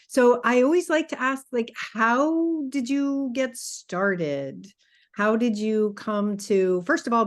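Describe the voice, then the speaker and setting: A woman hosting an interview, who introduces her guest and asks questions in an enthusiastic, unhurried way. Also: mid-range voice. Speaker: interview host.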